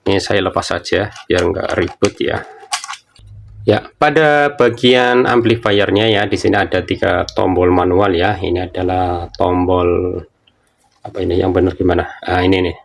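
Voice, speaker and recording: Low-pitched, gravelly man. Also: steady tone, normal speed, slight background noise. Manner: informal, explanatory, often repeating himself. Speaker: product reviewer. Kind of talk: casual unboxing and product demonstration.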